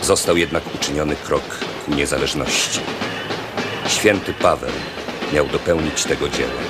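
Deep-voiced grave male narrator. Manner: measured, grave. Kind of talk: scripted historical narration. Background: music.

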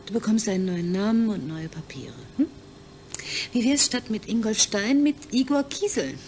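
An actress playing a practical colleague on familiar terms in a German radio play. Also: female voice, medium pitch, moderate pace, a little mellow, slight background noise, natural voice.

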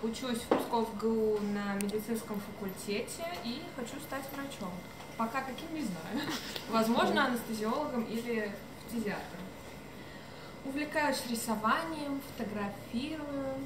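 A woman's voice: fairly high pitch, unhurried. A nineteen-year-old woman giving an informal self-introduction. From Velikiye Luki, Russia. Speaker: medical student introducing herself. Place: indoor cafe, seated at table, camera mic at a distance. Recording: very noisy echoey cafe, distant mic.

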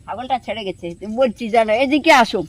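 A woman's voice: a little high, brisk. An older woman with a rural southern Bengal accent, telling stories casually and colloquially in an outdoor interview, acting out the dialogue. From Sundarbans, India. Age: older, grandmother. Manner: animated, colloquial storyteller who acts out dialogue. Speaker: interviewee, villager who fishes and catches crabs. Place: outdoors by a riverbank village.

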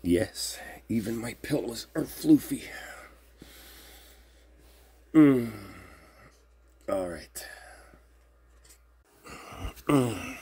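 A man playing an affectionate, easygoing boyfriend in an ASMR roleplay, speaking softly and drowsily in an intimate, unhurried way. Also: medium pitch, breathy edge, full character voice. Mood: sleepy, affectionate.

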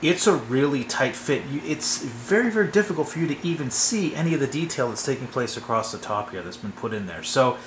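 A man's voice: mid-range voice, brisk, hardly any pauses, reverberant, a little hiss or hum. A man giving a casual hands-on tutorial at a workbench, rambling and often checking in with "okay?". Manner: relaxed, rambling, frequent "okay?" check-ins. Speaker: hobby model builder. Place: workbench in a home workshop.

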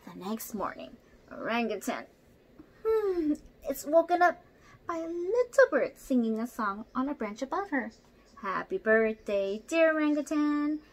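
A young woman reading a picture book aloud expressively at home, pausing to ask child listeners questions. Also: high-pitched, big pitch swings. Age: young adult. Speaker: storyteller for children. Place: small room at home, indoors.

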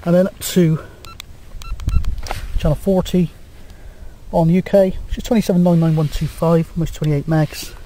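A man's voice: a little high, steady tone, normal speed, long pauses, warm tone, poor audio. A British man giving a casual hands-on demonstration as a radio hobbyist, in a hesitant, rambling, matter-of-fact way. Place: outdoors on a grassy coastal hilltop. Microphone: handheld camera microphone. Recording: outdoor camcorder with clear background noise.

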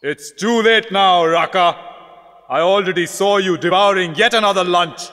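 A stern rabbit commander with a high, bright, forceful voice. He lays down the law theatrically and with menace. The cartoon sound is drenched in reverb. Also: normal speed. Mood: menacing. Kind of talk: animated cartoon character acting. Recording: long reverb, music behind.

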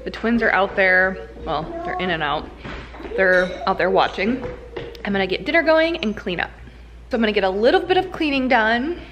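A woman's voice: mid-range voice, animated, moderate pace, background noise. A woman in her thirties chatting casually as a home vlogger, in a rambling, conversational way. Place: indoors at home.

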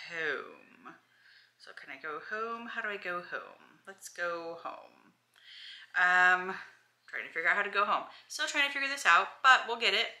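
Chatty bright-voiced woman vlogging at home. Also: on the low side. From Alabama, USA. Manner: chatty, rambling, many ums and restarts. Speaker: lifestyle vlogger. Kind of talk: casual chat to camera. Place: bedroom at home, indoors.

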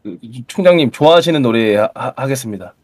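A man calling into a stream, hoarse from a cold, answering with meek, apologetic, clipped formal replies. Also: mid-range voice, lively intonation, fast, a little mellow, no echo, clean studio sound. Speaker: caller, stream crew member.

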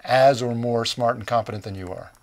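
A man's voice: mid-range voice, fairly quick, vocal fry, darkish tone. A man in his sixties hosting a local TV interview, asking long, friendly questions with restarts in a small studio. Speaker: TV interview host. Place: small TV studio set, indoors.

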